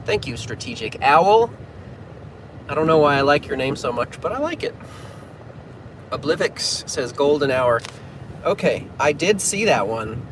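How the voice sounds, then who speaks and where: Chatty man livestreaming from a noisy car. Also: a little high. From New England, US. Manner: chatty, narrates his driving and reads out fan comments. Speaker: pianist livestreaming to fans. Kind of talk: casual livestream chat. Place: inside a moving car. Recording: loud road and engine noise. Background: loud car and traffic noise.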